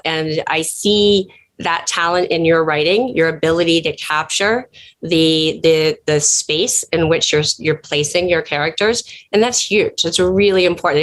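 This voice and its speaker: Female event host, lowish register, effusive and encouraging, speaking informally on a video call. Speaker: organization leader hosting an online event. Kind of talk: informal thank-you remarks. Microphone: online video call.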